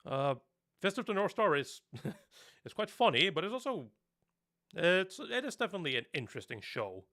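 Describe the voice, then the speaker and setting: A man's voice: high voice, big pitch swings, moderate pace, pushed and bright. A young man from Norway, a native Norwegian speaker talking in English, chatting casually on a live stream, rambling and laughing often.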